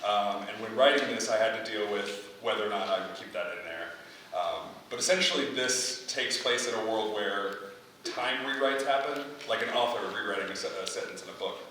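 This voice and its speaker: A man's voice: fairly low pitch, fairly quick, bright, forceful tone. A man speaking informally as a playwright taking questions after a staged reading. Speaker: playwright leading audience feedback. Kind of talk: informal question-and-answer talk. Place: echoey indoor venue. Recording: very echoey room, distant, noisy.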